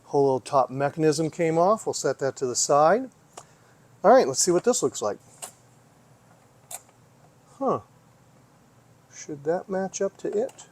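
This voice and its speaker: A man's voice: a little high, animated, a little slow, pauses often, a little mellow. A man giving casual hands-on commentary as he tinkers, thinking aloud and often asking himself questions. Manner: musing, talks to himself, asks himself questions. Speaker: vlogger, hobby repair host.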